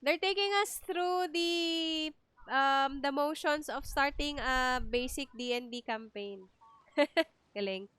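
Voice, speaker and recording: A woman's voice: high voice, slow pace, a bit bright, dry recording. A woman reacting casually as she streams a game. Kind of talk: casual live gameplay reaction, mixing Filipino and English. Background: game music.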